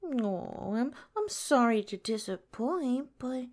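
A woman voicing a possessive yandere nurse girlfriend in an ASMR roleplay, sweetly menacing, coy and breathy, often slipping into a whisper. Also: on the high side, sing-song, takes it slowly, a little mellow. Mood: mocking, possessive. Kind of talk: ASMR roleplay, soft intimate drawn-out delivery.

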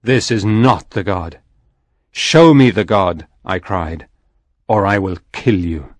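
A man voicing the soul of a young fisherman, a solemn spirit telling its tale in a slow, grave manner. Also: deep voice, no room sound. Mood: recounting. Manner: slow, grave storytelling delivery. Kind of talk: audiobook reading.

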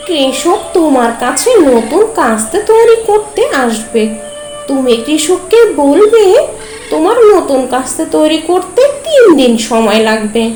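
A woman's voice: high voice, very animated, a little slow, music in the background. A woman voicing a helpful crow in a cartoon folk tale, earnest and pleading politely. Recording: roomy echoey voice-over.

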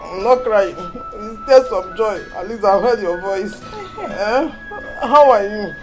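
Older village mother, Nigerian Igbo accent, doting and pious, emphatic repeated phrases, lively intonation, film drama. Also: on the high side, moderate pace, music in the background, poor audio. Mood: tearful relief. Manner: emphatic, repetitive.

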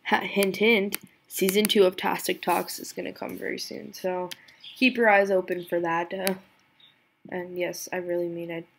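Young woman tutor, screen recording, chatty and giggly, gravelly low-ish voice, faint hiss on the computer mic. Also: little pitch movement, normal speed. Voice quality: voice lower than usual today. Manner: chatty, giggly, self-deprecating, thinks aloud. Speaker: tutorial creator.

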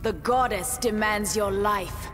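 An actress playing a savage, vengeful huntress serving a goddess in a video game, speaking with menace. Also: female voice, medium pitch, takes it slowly, fairly bright tone, faint music behind. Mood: menacing.

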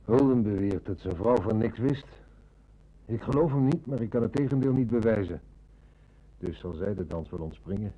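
An actor playing a composed, dry police inspector in a Dutch radio play, measured and authoritative. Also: male voice, fairly low pitch, muffled recording, close to an everyday voice. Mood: dry, matter-of-fact.

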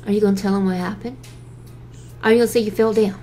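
A woman's voice: mid-range voice, fairly even intonation, fast, warm tone, close to an everyday voice. A firm young male superhero, acted by a woman in an audio drama, protective and a little teasing. The voice gives orders with caring sternness, and music plays underneath. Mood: stern concern. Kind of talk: fan audio drama acting.

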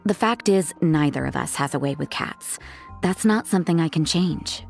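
Game role: detached, sardonic young nun, low voice, unbothered. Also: female voice, normal speed, vocal fry, faint music behind. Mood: dry. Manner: cool, flat and sardonic. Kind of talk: video game voice acting.

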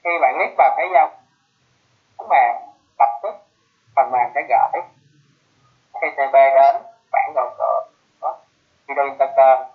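A man explains a technical product as a webinar presenter, speaking at a middling pitch. His delivery is halting, with breaks in the middle of phrases. The sound is thin and band-limited, like a phone line on an online conference call. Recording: muffled phone-like web call audio.

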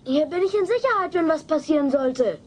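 A woman's voice: sits high, lively intonation, brisk. A woman voicing an anxious, gloomy little boy in a sitcom audio play. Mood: worried. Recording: noisy old cassette audio play.

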